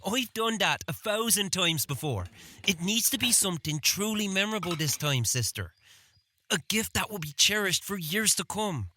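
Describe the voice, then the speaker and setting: An actress playing a determined, protective older sister, a young villager speaking earnestly in a fantasy audio drama. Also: female voice, mid-range voice, moderate pace, slight background noise. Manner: earnest, expressive.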